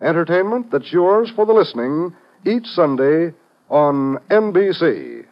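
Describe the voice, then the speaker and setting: A man's voice: on the high side, big pitch swings, unhurried, heavy background noise. A man announcing a 1950s radio show, reading scripted promos and credits. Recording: muffled old radio broadcast, faint music.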